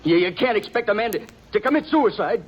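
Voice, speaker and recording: A man's voice: lively intonation, fairly quick, a little hiss or hum. An actor playing a jittery young gunslinger who stammers as his voice climbs in panic, in a 1950s radio drama. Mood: panicked. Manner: stammering, voice jumps high with fear. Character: jittery young gunslinger man, boastful, cracking under pressure. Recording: muffled old radio recording.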